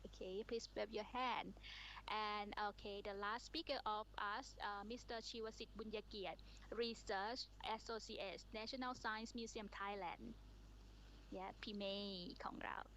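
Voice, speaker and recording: A woman moderating a conference session, introducing the speakers one by one with halting speech and many fillers. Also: mid-range voice, steady tone, fairly bright tone, slight background noise, low-quality recording.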